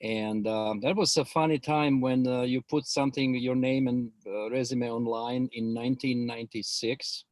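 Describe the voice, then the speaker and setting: A man's voice: mid-range voice, steady tone, normal speed, hardly any pauses, a little mellow, no room sound. A middle-aged man from Croatia with a Croatian accent, talking as a guest expert on a video call, hesitant and full of filler words. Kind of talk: webinar interview, explaining his background.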